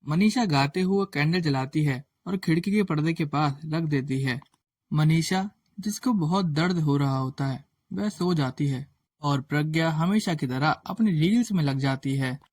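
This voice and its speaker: A man's voice: a little high, a little slow, darkish tone. A man narrating a scripted moral story as a storyteller. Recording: faint background music.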